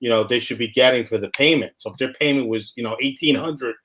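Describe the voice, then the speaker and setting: A man's voice: mid-range voice, fairly bright tone. A man hosting a casual live show, talking loosely and rambling through explanations with plenty of fillers. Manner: loose, rambling, acts out dialogue in quick voices. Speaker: host.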